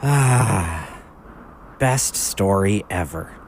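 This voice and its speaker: A man voicing a big, earnest, excitable blue ox in a children's radio drama. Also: low voice, very slow, slight background noise, heavily acted. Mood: subdued. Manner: emotional, earnest.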